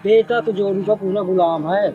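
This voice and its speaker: A man's voice: high voice, fairly even intonation, normal speed, narrow, tinny audio, soft background music, lightly acted. A man playing a loyal, respectful sidekick in conversational Hindi short-film dialogue. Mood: gossipy. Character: loyal, respectful male sidekick to elder sister.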